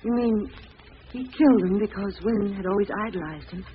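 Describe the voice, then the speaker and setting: A woman's voice: mid-range voice, normal speed, natural voice. An actress playing an anxious, horrified woman in an old-time radio crime drama, earnest and dramatic. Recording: muffled vintage radio recording, background noise.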